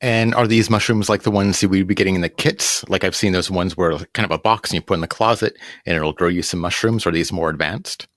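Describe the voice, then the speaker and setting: Host: quick-talking man, lowish voice, plain questions. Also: fairly bright tone, dry recording, studio quality. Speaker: podcast host. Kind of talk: casual interview questions.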